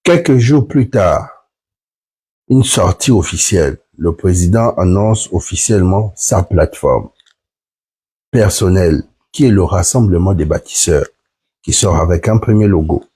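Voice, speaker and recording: A man's voice: low-pitched, expressive, unhurried, warm tone, husky. A man, sick with the flu, earnestly explaining point by point to viewers in a live stream. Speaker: platform member hosting a live stream.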